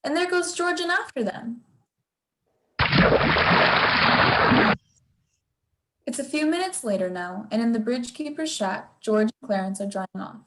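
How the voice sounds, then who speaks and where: A woman's voice: on the high side, expressive, fairly quick, poor audio. A young woman playing a solemn narrator who sets the scenes in a radio drama. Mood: storytelling. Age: teens or young adult.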